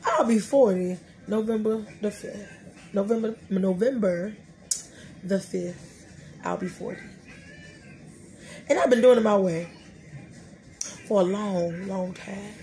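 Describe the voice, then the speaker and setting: A woman's voice: mid-range voice, slow pace, long pauses, slight background noise. A woman in her thirties hosting a casual faith podcast, talking animatedly and preachily from a small room. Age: 30s. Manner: animated, preachy, talks to herself, laughing asides. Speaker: podcast host. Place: small room, indoors before a dark curtain.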